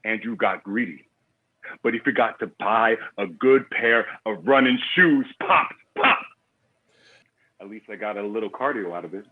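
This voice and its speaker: A man's voice: lowish voice, moderate pace, natural voice. Man playing a cocky, menacing crime boss, muffled sound. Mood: boastful, menacing. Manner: swaggering, slangy street talk. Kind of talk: screenplay table read acting.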